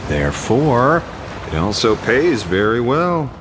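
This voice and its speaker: A man acting a dry, confident, businesslike mercenary in a video game, speaking deliberately. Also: mid-range voice, sing-song, noisy background. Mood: dry, resolute.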